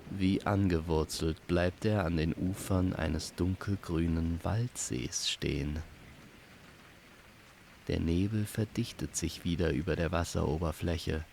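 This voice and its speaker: A man reads a fantasy tale as a measured storyteller. His voice is low and creaky, and he takes his time with each phrase. Rain is clearly audible behind him. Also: a little mellow, a little hiss or hum. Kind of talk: scripted fantasy story narration.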